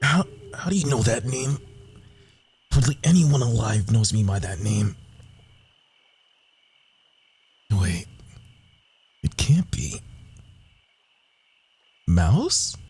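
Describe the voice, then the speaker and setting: A man playing a sly, cocky rogue assassin in a fantasy audio roleplay, speaking softly and theatrically, often dropping into whispers. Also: lively intonation, slow pace, pauses often, full character voice. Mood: stunned, flustered. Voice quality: low, gravelly, husky.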